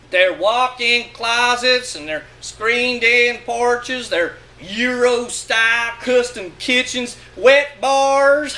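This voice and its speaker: A man's voice: very high voice, bright, forceful tone. A man giving a dramatic, sardonic and emphatic reading of a literary monologue. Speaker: reader of a short story.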